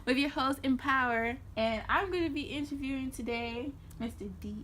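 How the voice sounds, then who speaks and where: Young female host with a slightly high voice. Easygoing and chatty, with light background hiss. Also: normal speed, a bit bright. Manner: easygoing, laughs often, says "um" a lot. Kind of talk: casual interview. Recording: faint background noise.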